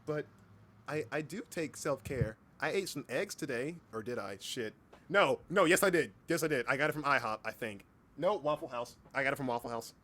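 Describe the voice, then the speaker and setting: A man's voice: mid-range voice, expressive, moderate pace, sharp tone. A man hosting a casual livestream chat, speaking informally, with repeated words and occasional swearing. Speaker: livestream host and hobby voice actor.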